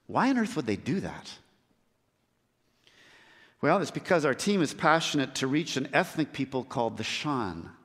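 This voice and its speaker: A man preaching a sermon as a missionary, earnest, with measured and emphatic pauses. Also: a little high. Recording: long hall reverb.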